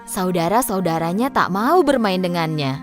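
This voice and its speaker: A woman narrating a children's story in an expressive, scripted storybook style. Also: lowish voice, normal speed. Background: music.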